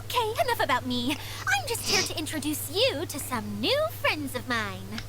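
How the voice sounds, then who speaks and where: A woman's voice: sits high, wildly swooping pitch, normal speed, sharp tone, full character voice, low-quality recording. An actress playing a carefree, lazy young woman who calls herself a mascot, talking in a bubbly, playful way. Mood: breezy.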